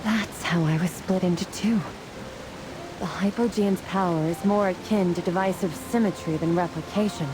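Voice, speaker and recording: Woman acting a solemn low-voiced divine warrior woman. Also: normal speed, noisy background, close to an everyday voice. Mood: reflective. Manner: formal, grave, declamatory. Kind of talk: scripted fantasy game voice acting. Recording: music and rain behind the voice. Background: music, rain.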